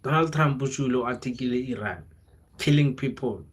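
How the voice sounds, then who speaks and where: A young man with a South African accent talks casually to his livestream audience in a mid-pitched voice. He rambles in a motivational way, leaning on slang and repetition. Now and then he switches into local languages. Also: moderate pace, slightly rough. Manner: informal, slangy, code-switches into local languages, repeats phrases. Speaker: livestreamer, content creator.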